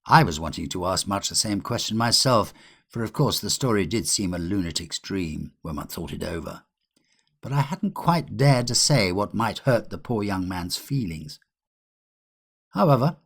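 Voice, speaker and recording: A man reading a literary ghost story as a narrator, in a measured, old-fashioned storytelling manner. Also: sits low, lively intonation, fairly bright tone. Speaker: audiobook narrator.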